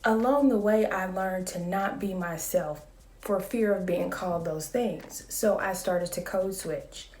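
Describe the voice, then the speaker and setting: Woman, late 30s, soft calm vlogger voice, slow and mellow, echoey room, a bit off-mic. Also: fairly low pitch, far from the mic. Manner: calm, measured and reflective. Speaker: school psychologist. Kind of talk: casual talking-to-camera vlog.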